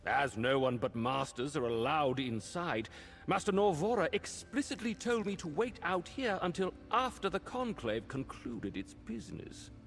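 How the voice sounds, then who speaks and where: A man's voice: fairly high pitch, sing-song, moderate pace, fairly bright tone. A man voicing a pompous, self-important wizard's spokesman in a video game, speaking officiously. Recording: long hall reverb, faint music.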